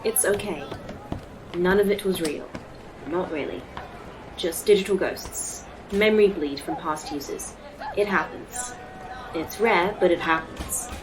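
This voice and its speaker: An actress playing a polite, proud artificial intelligence tour guide in a sci-fi audio drama, speaking formally and precisely. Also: female voice, fairly low pitch, measured pace, music in the background, lightly acted, poor audio. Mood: soothing. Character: polite, proud female A.I. tour guide in lifelike human form. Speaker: actor in a sci-fi horror fiction podcast.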